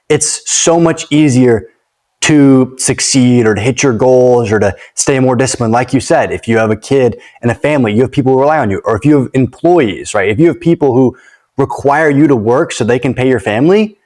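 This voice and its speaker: A man's voice: mid-range voice, darkish tone. Earnest man hosting a casual podcast. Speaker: podcast host.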